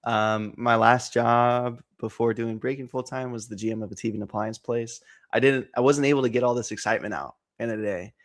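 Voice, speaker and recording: A man in his twenties, excitable and energetic, chatting casually about card breaks with lots of fillers. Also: medium pitch, a little fast, few pauses. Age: 20s. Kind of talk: casual chat on a stream.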